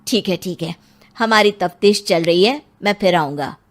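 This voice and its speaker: A woman's voice: on the low side, normal speed, lightly acted. Woman voicing an earnest, formal police inspector. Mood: businesslike. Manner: questioning, formal, courteous. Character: earnest, businesslike male police inspector, persistent and courteous. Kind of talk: dramatized audio storytelling. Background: music.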